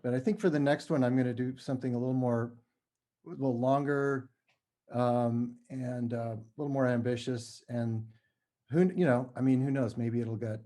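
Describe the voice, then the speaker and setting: A man speaking informally and modestly as a podcast guest, a playwright chatting about his work in a halting way. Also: medium pitch, steady tone, dark tone. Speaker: podcast guest, playwright and audio drama creator. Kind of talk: casual conversational interview.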